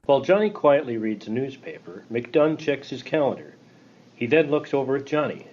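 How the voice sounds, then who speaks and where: A man's voice: medium pitch, expressive, moderate pace, dull audio. A man reading scripted narration and scene directions for a radio play. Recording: loud rain and background noise.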